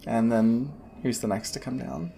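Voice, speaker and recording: A woman's voice: rumbling low, normal speed, creaky, warm tone, slight background noise. Chatty woman narrating as game master. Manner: loose and chatty, many fillers, laughs often.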